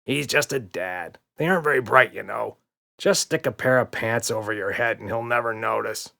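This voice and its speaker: A man's voice: on the low side, normal speed, creaky, sharp tone. A man voicing a skinny, lazy male storybook monster who complains and dismisses everything. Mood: dismissive.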